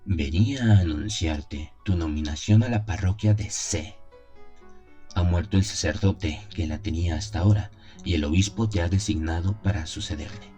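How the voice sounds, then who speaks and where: A man voicing a calm, pious abbot who counsels gravely in a measured, admonishing way, in an audio drama. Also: deep voice, soft background music, lightly acted. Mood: solemn announcing. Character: calm, pious older abbot counseling a troubled young priest.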